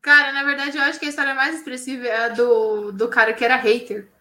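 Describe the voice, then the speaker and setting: Bright, animated woman in an echoey room. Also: sits high, fast talker. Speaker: science communicator on a channel. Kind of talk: casual interview talk.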